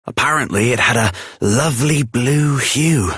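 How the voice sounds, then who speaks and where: An actor playing a kindly, excitable veteran smith in a video game, theatrical, wistful and earnest in manner. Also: male voice, mid-range voice, a little slow, husky, dry recording, clean studio sound. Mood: wistful, friendly. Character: kindly, excitable veteran armour smith, old comrade of a hero. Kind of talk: scripted video game voice acting.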